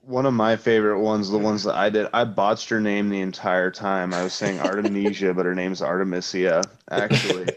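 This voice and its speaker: A man's voice: fairly low pitch, little pitch movement, fairly quick, hardly any pauses, noisy background. A man chatting casually as a podcast guest, telling stories in slangy, profane language over a dull, lo-fi online connection. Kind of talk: casual chat, animated storytelling. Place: online voice chat. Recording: dull lo-fi voice chat audio.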